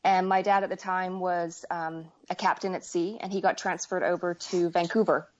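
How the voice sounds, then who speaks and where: A woman's voice: lowish voice, steady tone, moderate pace. Muffled, hesitant woman from Wales and Canada. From Wales and western Canada. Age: 40s. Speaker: needlework artist, interview guest. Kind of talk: casual chat.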